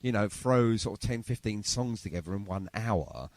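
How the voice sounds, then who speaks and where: A man's voice: on the low side, lively intonation, moderate pace, darkish tone, no room sound. A man hosting a casual interview show, chatty and effusive with his guest. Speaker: podcast and radio show host.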